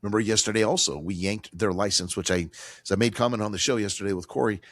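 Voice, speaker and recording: Male talk radio host, hoarse with a cold, low voice, dry studio sound, emphatic conversational delivery. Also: fairly even intonation, fast, dry recording. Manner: emphatic, repetitive, conversational with fillers. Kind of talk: financial talk radio monologue.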